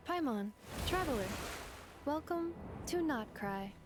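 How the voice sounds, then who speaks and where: A woman's voice: high-pitched, expressive, slow, background noise. A woman voicing a courteous female robot companion in a video game, speaking in formal, technical, literal phrases. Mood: welcoming.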